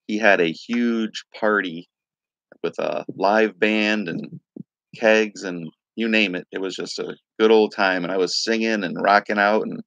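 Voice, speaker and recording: A man with a raspy voice from a night of singing, hosting a casual crypto livestream, rambling loosely and greeting viewers by name. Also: lowish voice, steady tone, unhurried, a bit bright, dry recording, highs cut off. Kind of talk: casual live-stream chat.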